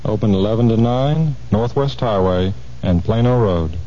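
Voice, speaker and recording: A man's voice: on the low side, animated, normal speed, dark tone, slight background noise. A man announcing a scripted tag for a radio jingle advertisement. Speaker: commercial announcer. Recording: muffled radio recording over music.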